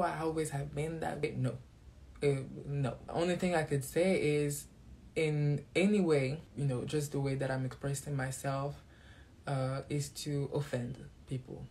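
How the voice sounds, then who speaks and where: A man's voice: fairly high pitch, a little mellow. A man from Belgium, a native French speaker talking in English, giving a sassy, defiant monologue to his viewers. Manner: sassy, defiant, throws shade. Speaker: vlogger.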